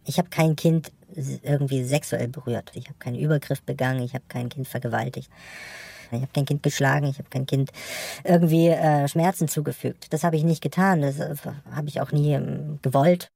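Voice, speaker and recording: A man of about forty, voice disguised by distortion, speaking haltingly with hesitations in a documentary interview. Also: mid-range voice, fairly even intonation, creaky, warm tone, husky, dry recording. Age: around 40. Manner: halting, with fillers.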